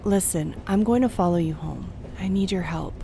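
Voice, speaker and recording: A woman's voice: lowish voice, normal speed, vocal fry, noisy background. A woman playing the earnest ghost of a wartime doctor in a radio drama, speaking frankly and conversationally. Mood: businesslike, insistent. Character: earnest female ghost of a Great War doctor.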